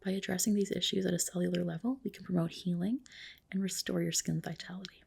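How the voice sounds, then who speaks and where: A woman whispering softly and breathily in an ASMR roleplay as a spa skin specialist, gently explaining and reassuring. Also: fairly low pitch, steady tone, quick pace, vocal fry, slightly rough. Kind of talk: ASMR roleplay, personal attention.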